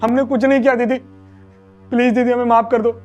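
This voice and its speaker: A man's voice: very high-pitched, flat delivery, brisk, a little mellow, muffled recording, soft background music. A man acting a meek young husband who begs and shifts blame in a melodramatic short film. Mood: frightened pleading.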